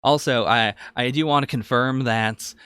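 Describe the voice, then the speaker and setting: Man, medium pitch, podcast co-host, casual review talk, tentative phrasing, dry close sound. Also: moderate pace, a bit bright, clean tone, no echo.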